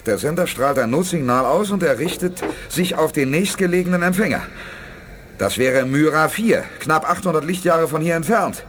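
An actor playing a resolute, commanding space commander in a 1980s science fiction radio play, speaking with decisive authority. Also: male voice, a little high, expressive, normal speed, a bit bright, slight background noise. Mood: grave, focused.

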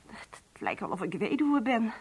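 An actress playing a neglected, complaining wife in a radio play, plaintive and emotional. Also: female voice, mid-range voice, huge pitch swings, normal speed, gravelly, a little mellow, breathy edge, heavily acted. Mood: reproachful. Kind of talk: Dutch radio play acting.

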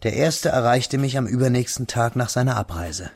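A man playing a reflective young man who narrates his melancholy past in measured, literary radio drama style. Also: medium pitch, vocal fry. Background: music.

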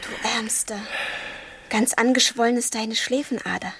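A woman's voice: fairly high pitch, moderate pace, a bit bright, slightly rough. A young woman acting in a literary radio play as a playful, impulsive girl in love, speaking coquettishly. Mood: teasing, tender.

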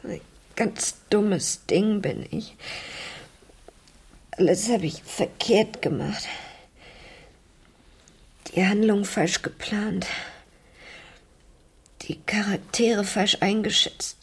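An actress playing a hurt, bookish teenage girl in a radio crime drama, breathy and halting, self-doubting yet defiant. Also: medium pitch, exaggerated voice. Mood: self-reproachful. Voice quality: breathy, husky. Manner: halting, subdued, half-whispered. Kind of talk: 1970s German radio crime drama acting.

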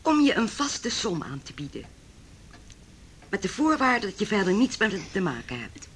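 A woman's voice: medium pitch, sing-song, normal speed, slightly rough. An actress playing a cold, wealthy middle-aged mother in a Dutch radio play, speaking in a formal, condescending, cutting way. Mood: calculating. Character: haughty, cold, wealthy mother opposing her son's marriage.

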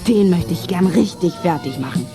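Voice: medium pitch, expressive, moderate pace, music in the background, natural voice. A performer playing a hot-headed, indignant young detective in a children's radio play. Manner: indignant, impulsive.

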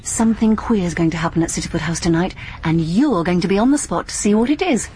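A woman playing a brisk girl sleuth. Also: fairly low pitch, lively intonation, darkish tone, a little hiss or hum, natural voice. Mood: determined. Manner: crisp and insistent. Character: brisk, determined young amateur sleuth woman.

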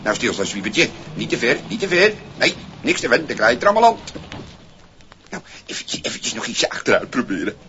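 Scruffy vagrant, cheeky, easily flustered, swooping intonation, exclamatory, old radio play, dull slightly noisy vinyl. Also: male voice, mid-range voice, very animated, moderate pace, sharp tone. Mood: delighted wonder. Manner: stammering when flustered, exclaiming, talking to himself. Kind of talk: 1960s promotional radio play acting. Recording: muffled, noisy 1960s vinyl single recording.

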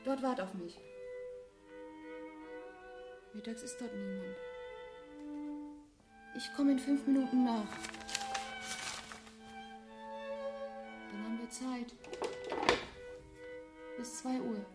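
A woman's voice: fairly high pitch, a little slow, pauses often, music in the background, close to an everyday voice. An actress playing an anxious, caring woman in a German radio play, speaking in an urgent, pleading manner. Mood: hushed, eager. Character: anxious, caring woman pressing her troubled man.

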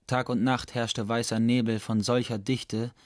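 A man's voice: medium pitch, little pitch movement, measured pace, heavy background noise, natural voice. A man narrating a literary radio drama in a scripted style. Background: prominent music.

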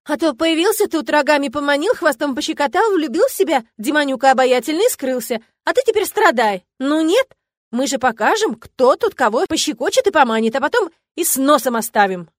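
A woman's voice: high-pitched, animated, brisk, few pauses, no room sound, studio quality, voice pushed up. A woman voicing a feisty, loyal and bossy young witch friend, indignant and emphatic, in an audiobook. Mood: militant. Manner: emphatic, combative. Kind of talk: dramatised audiobook.

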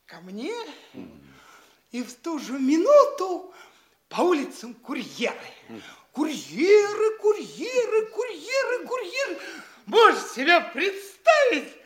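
A tipsy young male braggart in a classic radio comedy, in an echoey room. The voice is very high and bright, swooping grandly from word to word as he stammers out his boasts. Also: wildly swooping pitch, slow pace, heavily acted. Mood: boastful, excited. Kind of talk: theatrical classic radio play acting.

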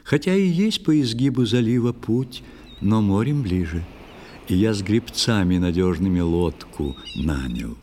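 An actor playing a pious, excitable old priest recounting in verse in an audio play. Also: male voice, fairly low pitch, noisy background.

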